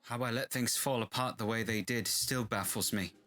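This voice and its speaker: A man's voice: mid-range voice, fairly even intonation, normal speed, lightly acted. An actor playing an earnest, troubled doctor who narrates from his journal in a radio horror drama. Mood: somber. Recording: loud music behind the voice.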